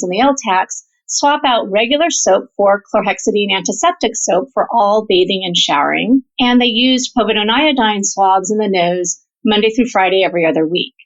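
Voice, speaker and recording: A woman physician researcher explaining her work as an interview guest, in an orderly, structured way. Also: mid-range voice. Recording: dull, band-limited audio.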